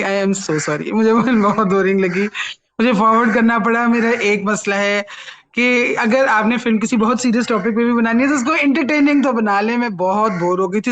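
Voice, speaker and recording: Pakistani woman hosting, faint noise behind. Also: mid-range voice. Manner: mixes Urdu with English phrases. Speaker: talk show host and film reviewer. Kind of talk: casual film review chat.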